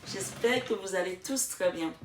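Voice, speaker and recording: A woman's voice: mid-range voice, moderate pace, far from the mic, background noise. A woman hosting a casual tarot card reading, talking straight to her viewers with lots of fillers and restarts. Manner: chatty, many fillers and restarts, addresses viewers directly. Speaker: tarot reader and channel host. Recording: echoey room, voice from off the mic.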